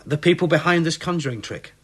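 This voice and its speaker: An actor playing a skeptical police inspector in a radio play, clipped and dismissive. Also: male voice, fairly high pitch, fast, a bit bright, slightly rough. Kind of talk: radio drama acting.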